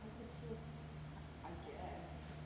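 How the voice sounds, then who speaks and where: A woman acting in an amateur stage scene as a sharp-tongued, guarded girlfriend with a sarcastic, defensive way of talking. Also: fairly low pitch, slow pace. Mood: hesitant, subdued. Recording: distant, echoey room with noise.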